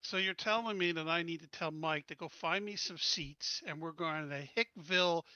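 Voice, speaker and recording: A woman's voice: fairly low pitch, normal speed, sharp tone, no echo, highs cut off. A woman playing a tabletop game, casually talking through plans and asking questions. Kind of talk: casual game planning chat.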